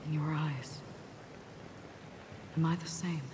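An actress voicing a calm, melancholy wandering swordswoman in a video game, speaking softly and with measured restraint. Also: sits low, background noise. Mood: quiet, melancholy. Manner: soft, measured, subdued. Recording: echoey game audio with music.

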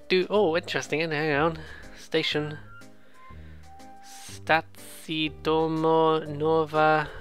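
A bright-voiced let's play host at a middling pitch talks casually through a game. The commentary is rambling, jokey and self-deprecating, mixed with lines read off the screen, with some game music underneath.

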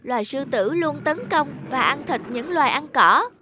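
A woman's voice: sits high, lively intonation, normal speed, pushed and bright, a little hiss or hum. A woman voicing an earnest, naive young tree sprite in a cartoon, youthful and tender-hearted. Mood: earnest, concerned. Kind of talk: cartoon character acting.

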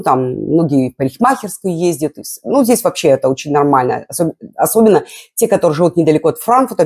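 A woman's voice: sits low, dark tone, slightly rough. A woman in her sixties, a vlogger chatting to her viewers from her living room in a chatty, digressive way through a lapel mic. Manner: chatty, digressive, many fillers. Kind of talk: casual chat to viewers. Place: living room at home, indoors.

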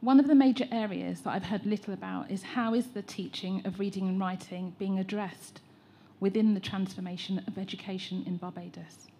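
English-accented woman, mellow husky voice. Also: mid-range voice, steady tone, a little fast, hardly any pauses. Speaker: educator and teacher trainer. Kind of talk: prepared statement at a public meeting. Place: large hall at a public meeting.

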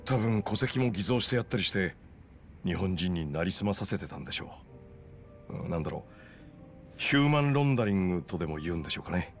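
A sharp, well-informed guy from Tokyo in a video game story. He talks casually in a fairly low voice, laying things out clearly for his companions. Faint music runs underneath. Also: lively intonation, fast. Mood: explanatory. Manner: casual, explanatory. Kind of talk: video game drama acting.

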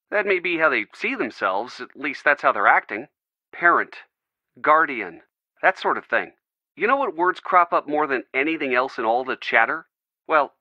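Game role: young American boyfriend, loyal, caring, wry. Animated delivery, dry and slightly muffled recording. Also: male voice, a little high, no room sound. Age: young adult. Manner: earnest, gently joking. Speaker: voice actor in a video game. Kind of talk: video game dialogue, phone conversation.

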